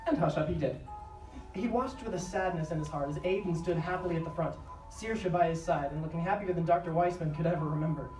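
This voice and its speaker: A woman's voice: fairly low pitch, quick pace, mellow, faint music behind. A woman narrating a scripted drama live. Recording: echoey live stage, distant, faint noise.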